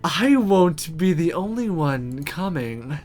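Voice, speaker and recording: Sultry game demoness, played by a woman reader: breathy, playful, languid pace, swooping pitch. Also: animated, darkish tone, soft background music, full character voice. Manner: drawn-out, suggestive purring delivery.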